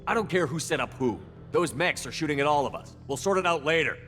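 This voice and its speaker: A tough male space commander fires off terse, suspicious lines quickly in a reverberant hall over music. It is authoritative game cutscene acting. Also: on the high side, animated, a bit bright. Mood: impatient, commanding.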